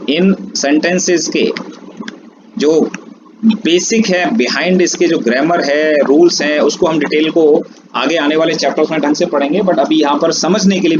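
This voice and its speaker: A man teaching a French lesson, explaining slowly and drawing words out. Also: fairly high pitch. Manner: slow, drawn-out explanations mixing Hindi and English. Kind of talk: online language lesson. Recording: dull lo-fi sound with steady background noise.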